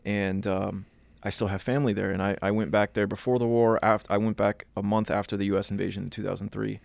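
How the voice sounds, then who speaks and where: Gravelly, flat-voiced man asking questions. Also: on the low side, fast, no echo. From Iraq. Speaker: podcast host. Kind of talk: interview questions.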